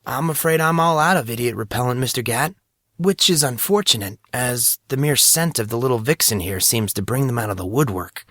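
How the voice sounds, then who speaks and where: A man's voice: mid-range voice, lively intonation, fairly quick, fairly bright tone, no echo, studio quality. A man voicing an earnest, wry young rich man in a narrated fantasy story. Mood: dry banter.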